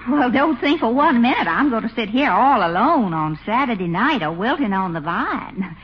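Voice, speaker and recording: Coquettish Southern belle girlfriend, vintage radio comedy: high voice, coy teasing, Southern drawl, hissy recording. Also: very animated, normal speed, highs cut off. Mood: huffy. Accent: American South. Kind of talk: 1950s radio comedy acting.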